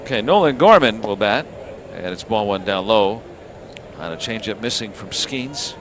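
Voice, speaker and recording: An American man doing live radio play-by-play of a baseball game in short, measured phrases with asides between pitches. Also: animated, noisy background. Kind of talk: live sports commentary with ad-libbed asides.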